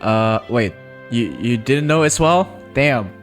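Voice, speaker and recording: A man streaming a game live and reacting with excited, slangy comments. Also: mid-range voice, takes it slowly. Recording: stream mic with game music under.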